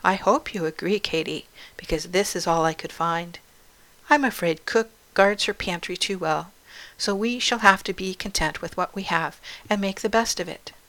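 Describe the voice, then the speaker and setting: A woman voicing a kind, motherly storybook character who speaks in a gentle, cheerful, reassuring way. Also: fairly low pitch, normal speed, close to an everyday voice, low-quality recording. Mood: cheerful, kindly.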